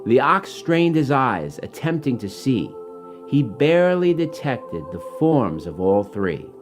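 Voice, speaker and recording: A man reading his own rhyming children's story in an expressive, dramatic way. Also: medium pitch, takes it slowly, music in the background.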